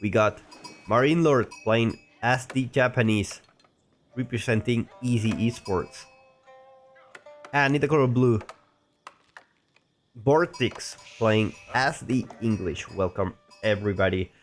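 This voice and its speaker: A man's voice: mid-range voice, slow, pauses often, soft background music. A man casually commentating a strategy game match, a little sick and talking in a rambling, chatty way. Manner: rambling, chatty, frequent restarts and asides. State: a little sick, voice deeper than usual. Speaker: game caster.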